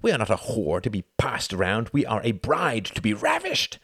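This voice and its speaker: A man's voice: fairly low pitch, wildly swooping pitch, normal speed, a bit bright, breathy edge, no room sound, clean studio sound, voice pushed up, heavily acted. A man voicing a fanatical young German man in a bar, speaking with fervent declamation.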